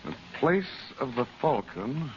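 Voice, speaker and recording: A resolute mystic adventurer, a man with authority in an old radio serial. His delivery is earnest and theatrical, with wide swings of pitch. The sound is muffled under heavy noise. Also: sing-song, slow, a little mellow. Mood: intrigued. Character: resolute, commanding uncle and mystic adventurer hero. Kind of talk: 1930s-40s radio adventure serial acting.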